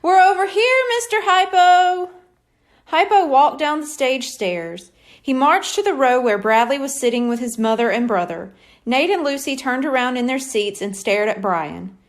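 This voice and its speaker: A woman narrating a children's storybook aloud as an expressive scripted reading. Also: a little high, normal speed. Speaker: audiobook reader.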